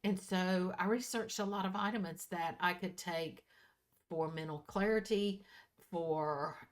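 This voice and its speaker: A woman in her early sixties with a voice a little lower than most women's. She talks casually and candidly, as a vlogger does to regular viewers. Her delivery is folksy, and she repeats and corrects herself as she goes. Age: early 60s. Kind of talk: casual personal chat to viewers.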